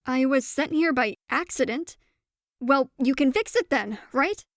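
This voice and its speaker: Woman voicing a young goat girl, high-pitched, earnest, anxious, hesitant, polite, fantasy audio drama. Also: expressive, measured pace, dry recording, clean studio sound. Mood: confused.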